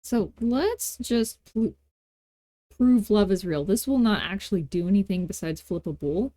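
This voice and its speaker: A woman records a casual screen tutorial as its host. Her voice sits at a middling pitch, captured very dry with little room sound. She talks informally, with a playful, self-deprecating manner. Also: lively intonation, unhurried, mellow, no room sound.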